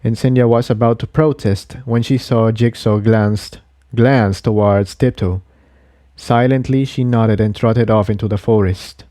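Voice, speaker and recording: A man's voice: fairly low pitch, fairly even intonation, measured pace, mellow, no room sound. A man reading an adventure story aloud in scripted audiobook narration. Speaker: narrator and reader of a fan fiction.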